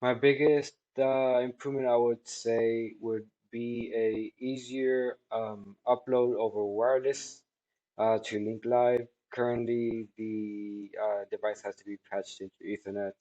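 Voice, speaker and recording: A man in his thirties giving a spoken product testimonial in a halting, measured way, with drawn-out words. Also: medium pitch, flat delivery, dark tone, muffled recording. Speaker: technology product reviewer. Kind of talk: spoken testimonial answering questions. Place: small indoor office room by a large window.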